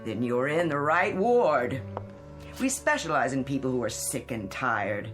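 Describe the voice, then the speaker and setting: Icy scornful wife in a radio play, real low voice, sarcastic, faint music behind. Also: female voice, very animated, a little slow, exaggerated voice. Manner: cutting, sarcastic. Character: cold, ambitious, scornful wife of a weary executive. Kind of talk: modern radio drama acting.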